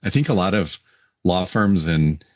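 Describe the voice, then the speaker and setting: A very low-voiced man hosting a podcast in easygoing chat, with a slight rasp today. The sound is dry and close. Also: a little slow, no echo. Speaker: podcast co-host.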